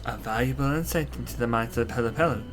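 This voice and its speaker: A man voicing game dialogue in a steady, explanatory read during a playthrough. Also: mid-range voice, fast talker, soft background music. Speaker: game narrator and voice actor.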